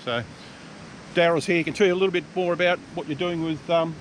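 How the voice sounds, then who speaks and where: Laid-back Australian man outdoors, slightly high voice. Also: fairly even intonation, fast talker. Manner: easygoing, chatty, with laid-back local slang. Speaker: vlog host and adventure motorcyclist. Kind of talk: casual chat to camera. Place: outdoors by the roadside. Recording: outdoor noise with vehicle rumble.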